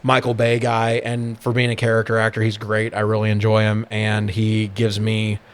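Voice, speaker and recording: A man's voice: fairly low pitch, completely flat delivery, moderate pace. Man in a sweary, casual chat. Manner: profane, slangy. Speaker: podcast host.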